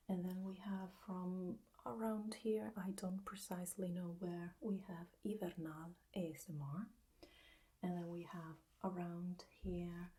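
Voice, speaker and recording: A woman with an Italian accent giving a gentle whispered ASMR lesson, soft and hesitant with many pauses. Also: lowish voice. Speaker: ASMR teacher.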